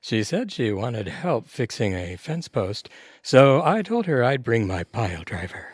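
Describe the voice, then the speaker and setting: An actor playing a cocky, blunt, bantering gym buddy in an audio comedy. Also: male voice, mid-range voice, big pitch swings, a little slow, husky, no room sound, studio quality. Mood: teasing. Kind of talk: audio comedy drama acting.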